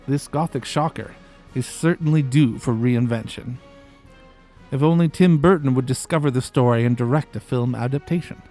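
A man hosting a podcast, narrating a story in a measured storytelling way. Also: mid-range voice, mellow. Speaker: podcast host. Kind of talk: scripted narration and interview questions.

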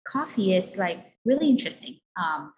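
A woman's voice: on the low side, sing-song, normal speed. A woman from Malaysia answering interview questions casually as a guest, chatty and often saying "like". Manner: chatty, peppers speech with "like". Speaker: guest, coffee company co-owner. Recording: clear background noise.

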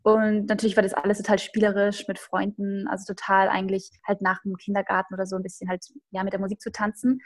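A woman's voice: medium pitch, level tone, quick pace, hardly any pauses. A young woman speaking standard German, a ballet dancer chatting cheerfully as a podcast guest, with many fillers. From Austria, raised in Upper Bavaria. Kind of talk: casual podcast interview.

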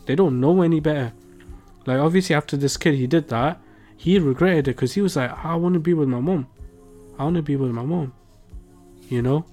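A man's voice: a little high, a little fast, a little mellow. Slangy young British man over music. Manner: slangy, emphatic. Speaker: podcast co-host. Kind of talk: casual podcast chat.

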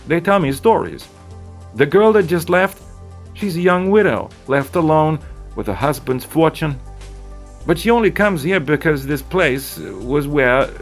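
A man's voice: medium pitch, voice pushed up. A man voicing a slick, blunt male bartender who talks in a teasing, lecturing way.

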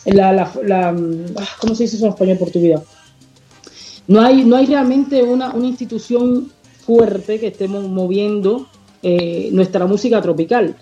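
A woman's voice: mid-range voice, steady tone, moderate pace, mellow, dull audio, soft background music. A Cuban woman singer with a Cuban Spanish accent, answering interview questions with passion and emphasis, sometimes hunting for a word. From Cuba. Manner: passionate, emphatic, searches for words. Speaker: singer guest.

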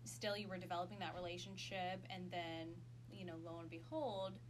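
A woman's voice: fairly low pitch, steady tone, moderate pace. Encouraging woman co-host over hum. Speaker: podcast co-host. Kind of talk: casual interview chat.

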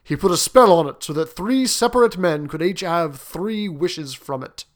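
A weathered middle-aged soldier, played by a male storybook reader. He is grave and gruff, sounding like a man giving a warning. His pitch is on the high side and moves expressively. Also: expressive. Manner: solemn, slow, gruff. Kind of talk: dramatic storybook reading.

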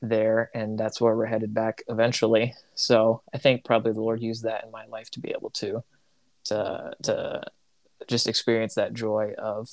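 A man's voice: lowish voice, completely flat delivery, normal speed, dry recording. Reflective man, dry close sound. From Tanzania. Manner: rambling, self-correcting, reflective. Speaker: missionary. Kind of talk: casual conversation.

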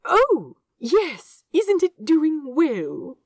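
A prim, kindly gentleman angel from a storybook, played by a woman, fond and a little fussy. He speaks gently and earnestly, with big melodic swings in a dry, close recording. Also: high voice, huge pitch swings, extremely slow, no room sound, voice pushed up, exaggerated voice. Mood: cheerful, fond. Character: kindly, prim, cheerful male angel bookseller, fondly fussy.

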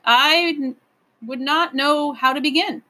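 A woman's voice: high-pitched, unhurried, sharp tone, pitched above the performer's own voice. A woman voicing an earnest, apologetic male professor in a storybook, hesitant and pleading as he explains. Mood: bewildered, apologetic.

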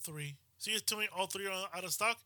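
A man's voice: high-pitched, brisk, pushed and bright, no room sound. A man co-hosting a podcast in casual banter, laughing often, swearing, and acting out the people in his stories. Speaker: podcast co-host.

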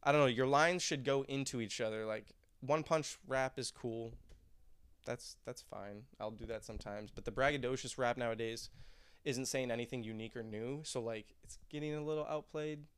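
Young man, musician guest, casual rambling chat, smooth voice, dry close mic. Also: medium pitch, moderate pace, fairly bright tone, dry recording. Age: young adult. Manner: laid-back, rambling, laughs often.

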